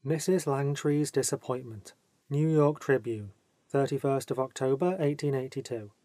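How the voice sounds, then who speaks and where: A man's voice: mid-range voice, steady tone, normal speed, mellow, no room sound. A man reading scripted narration as an audiobook reader.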